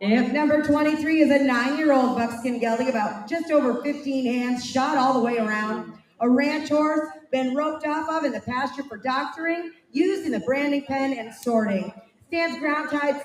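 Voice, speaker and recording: Midwestern woman reading horse lot notes. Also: high-pitched. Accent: Midwestern American. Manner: brisk matter-of-fact reading. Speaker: sale announcer reading horse descriptions. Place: livestock sale barn. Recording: echoey barn from far off.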